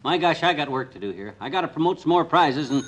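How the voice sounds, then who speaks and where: An actor playing a blustery, impatient small-town schemer of a husband in a 1950s radio comedy, talking in a colloquial, hurried way. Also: male voice, fairly high pitch, animated, a bit bright. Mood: busy, grumbling. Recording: old radio recording, faint noise.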